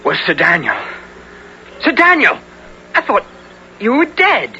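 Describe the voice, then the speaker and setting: Earnest, high-voiced young squire. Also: male voice, wildly swooping pitch, unhurried, pushed and bright, full character voice. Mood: agitated. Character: earnest, hot-headed young squire hero. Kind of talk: 1970s radio drama acting. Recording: muffled, hissy old radio broadcast.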